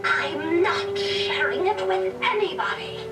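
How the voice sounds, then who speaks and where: Greedy cruel villainess, very high voice, menacing drawn-out delivery, storybook record with music. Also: female voice, animated, full character voice. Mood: snarling. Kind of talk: children's read-along story acting.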